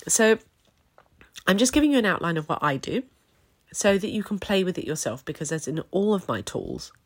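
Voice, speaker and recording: A woman talking gently and reflectively to her viewers as a personal development coach, her voice a bit croaky while she recovers from illness. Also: lowish voice, very animated, normal speed. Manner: warm, gentle, reflective, with many fillers. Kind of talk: casual talk to camera.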